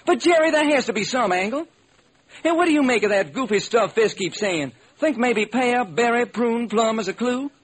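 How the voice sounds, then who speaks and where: An actor playing a baffled, folksy police lieutenant in an old-time radio detective drama, talking in earnest slang. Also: male voice, very high voice, expressive, moderate pace. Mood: puzzled, folksy. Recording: noisy vintage radio recording.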